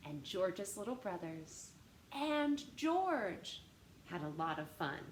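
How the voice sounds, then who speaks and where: A woman reading aloud expressively for young children as a storytime host, animated and addressing them directly with questions. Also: fairly low pitch, takes it slowly. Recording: roomy echo with faint background noise.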